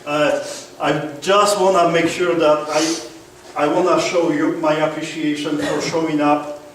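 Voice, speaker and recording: A man with a strong Polish accent gives a seminar talk, pitched a bit high. He keeps asking the room whether they agree. He is recorded from far back in a very echoey room with light noise. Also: little pitch movement, unhurried. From Poland. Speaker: guest speaker. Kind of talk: seminar presentation. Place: meeting room.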